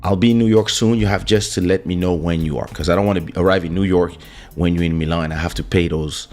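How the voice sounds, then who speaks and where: A man's voice: low voice, steady tone, fast talker, a little mellow, faint music behind. A man with a French accent hosting a casual livestream, talking loosely with fillers and restarts while greeting his viewers. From France. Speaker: livestream host and musician. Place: home streaming setup in Portugal. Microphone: studio desk microphone.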